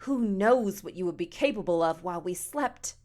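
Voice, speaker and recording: A woman's voice: fairly low pitch, lively intonation, normal speed, darkish tone, close to an everyday voice. A woman voicing a haughty, self-righteous foster mother in an audiobook, with stilted, formal diction and a pompous manner. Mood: contemptuous scolding. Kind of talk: audiobook character dialogue.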